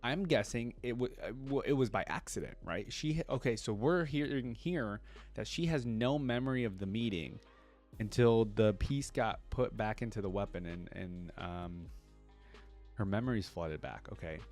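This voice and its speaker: Male reaction creator, lowish voice, casual lore read-through with off-the-cuff asides, faint music underneath. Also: moderate pace, darkish tone. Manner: interrupts reading with conversational asides.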